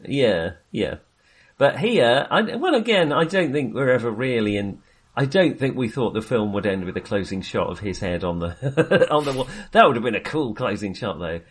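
A man's voice: mid-range voice, very animated, moderate pace, husky. A man co-hosting a film podcast, chatting casually in a hesitant, wandering way with self-amused asides.

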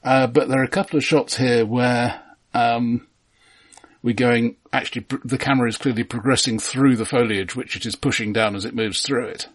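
A man co-hosting a film podcast, talking casually in a halting but precise, explanatory way. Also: medium pitch, fairly even intonation, slightly rough.

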